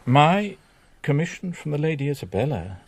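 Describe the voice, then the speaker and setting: An actor playing a sanctimonious, slyly calculating priest in a radio drama, measured and pompous, with confiding asides. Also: male voice, fairly high pitch, sing-song, slightly rough, no echo, full character voice. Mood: formal, pious.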